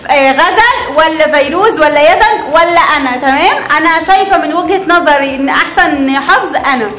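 A woman's voice: sits high, fairly quick, fairly bright tone. A woman in her thirties with an Egyptian Arabic accent, chatting playfully to viewers while unboxing toys at home. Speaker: family channel host. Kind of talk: casual toy unboxing vlog. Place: living room at home, indoors. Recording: echoey tiled room, faint room noise.

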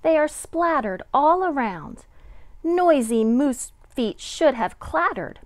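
A middle-aged woman, a kindergarten teacher, reading a picture book aloud to young children with deliberate, sing-song dramatic emphasis. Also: sits high, animated, no room sound.

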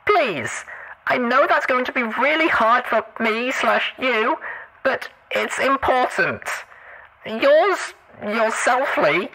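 Bright, high-voiced young pony adventurer played by a woman. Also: expressive, slow pace, heavily acted, poor audio. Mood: earnest, pleading. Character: young female pony adventurer reading her own earnest note. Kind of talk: acted audiobook reading.

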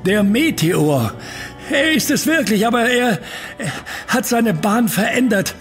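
An intense, earnest uncle who watches the stars in an audio drama. His voice sits high for a man, with soft music underneath. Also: lively intonation, unhurried, heavily acted. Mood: agitated. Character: excitable uncle, amateur astronomer turning gravely resigned. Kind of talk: German audio drama acting.

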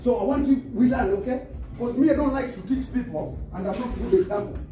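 A man's voice: extremely high pitch, quick pace, mellow. A man coaching boxing in a gym, encouraging his students, repeating short phrases and laughing often. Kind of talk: coaching during a gym session. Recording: echoey gym from far off with music and crowd noise. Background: music and people in the gym.

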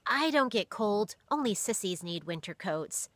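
A woman voicing a poor, proud boy who talks quickly and trips over his words. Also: medium pitch, animated, fairly bright tone, dry recording, voice pushed up. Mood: boastful, flustered.

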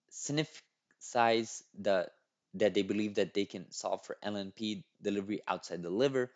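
A young man, not a native English speaker, reading and commenting on a thread as a channel host, restarting words and adding tag questions. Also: fairly low pitch. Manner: repeats and restarts words, many "right?" tags. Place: small room at a desk. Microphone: headset. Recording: dull lo-fi audio.

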